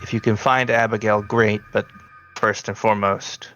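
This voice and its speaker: A man's voice: on the low side, flat delivery, normal speed, fairly bright tone, dull audio. A man narrating a tabletop roleplaying game as the game master. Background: background music.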